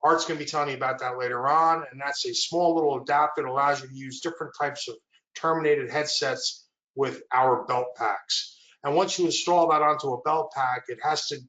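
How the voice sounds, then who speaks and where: A man's voice: mid-range voice, echoey room, lo-fi sound. A man giving a product presentation, walking through slides and asking for the next one. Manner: steady explanatory walkthrough, asks for the next slide.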